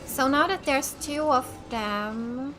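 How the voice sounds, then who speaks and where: High-pitched woman doing let's play commentary. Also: slow. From Finland. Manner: reacts aloud, thinking out loud to herself. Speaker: gaming YouTuber. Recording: game music behind the voice. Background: video game music.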